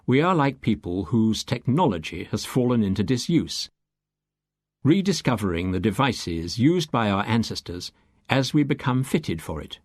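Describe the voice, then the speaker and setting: A man with a fairly low voice reads an audiobook in a close, dry recording. His delivery is measured and deliberate, like a prepared lecture, with pauses that set off the key phrases. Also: dry recording. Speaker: audiobook reader. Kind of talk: scripted audiobook reading, lecture-like exposition.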